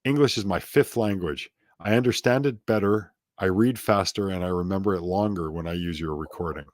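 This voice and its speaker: A man's voice: low-pitched, fairly quick, no echo, studio quality. A middle-aged man as an interview guest, chatting casually and rambling off onto tangents with self-deprecating asides.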